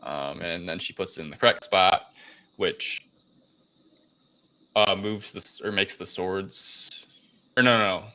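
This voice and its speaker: Male podcast co-host, low and forceful, casual halting recap style. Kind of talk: casual film review chat.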